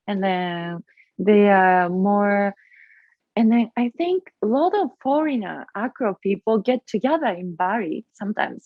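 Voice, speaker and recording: A woman's voice: mid-range voice, dry recording. Japanese-accented woman on a tinny line. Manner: halting, searching for words, many fillers. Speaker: guest, acro yogi. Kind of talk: casual interview chat.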